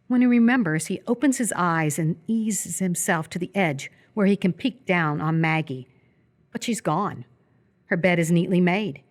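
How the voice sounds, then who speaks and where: A woman narrates a live radio play from a script. Her voice is on the low side as she reads out stage directions in the present tense.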